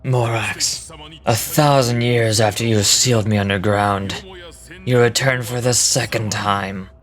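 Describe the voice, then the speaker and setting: A man's voice: on the low side, fairly even intonation, measured pace, a bit bright. An actor voicing an ancient, vengeful male dragon in a video game, speaking in a grandiose, bitter, accusing way. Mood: grave, scornful. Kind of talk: dramatic video game voice acting.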